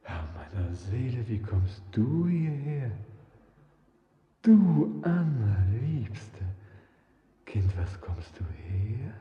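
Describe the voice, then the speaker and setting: Slow, awestruck lover in cavernous reverb. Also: male voice, medium pitch, sing-song. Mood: awed, tender. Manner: very slow, wondering, exclamatory. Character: awestruck man in love, calling out in darkness. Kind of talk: theatrical German radio drama, lyrical declamation. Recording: dull, noisy, cavernous long reverb.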